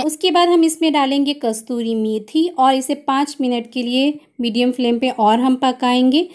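A woman's voice: sits high, a little mellow. A woman gives a step-by-step recipe voice-over, explaining each step plainly as a home cook.

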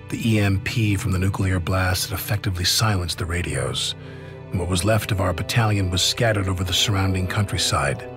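Deep, grave American veteran narrator. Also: male voice. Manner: grave, measured. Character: somber veteran soldier narrating his war memories. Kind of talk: scripted dramatic game narration.

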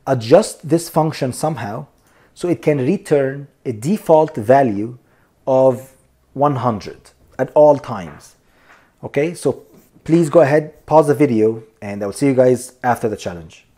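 A man with a mellow, relaxed voice walks viewers through code in a screencast lesson. He talks them through each step, checking in with "okay?" and "here we go," and calls his audience "you guys.". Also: medium pitch, measured pace, slightly rough. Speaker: programming instructor. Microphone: voice-over on screen recording.

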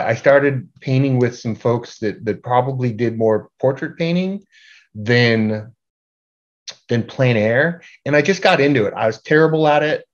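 A middle-aged man giving an informal slide presentation as a group organizer, halting and repeating words in a self-deprecating way. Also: mid-range voice. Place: indoor room at home, on a video call. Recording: dull, lo-fi video call audio.

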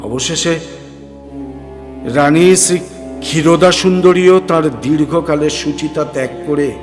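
A man narrating a horror story with theatrical, deliberate phrasing and dramatic pauses. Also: on the high side. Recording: long hall reverb, music underneath.

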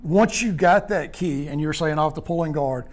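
Older man, postgame interviewer, high-ish voice, praising, rambling questions, school clubhouse. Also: moderate pace.

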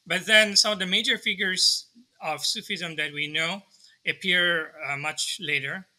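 Man in his 30s, from Bangladesh, bright voice, slightly high, hesitant explanations, webcam video call. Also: steady tone, measured pace. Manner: thoughtful, many restarts and fillers. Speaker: guest scholar. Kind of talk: explanatory interview answer. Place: home study with bookshelves.

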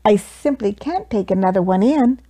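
A woman's voice: medium pitch, lively intonation, normal speed, mellow, breathy edge. A woman voicing a kindly but strict storybook lady who takes in stray pets, prim and firm in a motherly way. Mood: worried.

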